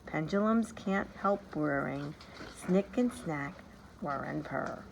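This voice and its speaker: A woman voicing an eccentric old godfather with a rasping, monotonous sing-song voice. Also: sits low, takes it slowly, a little hiss or hum, pitched below the performer's own voice, heavily acted, poor audio. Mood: chanting.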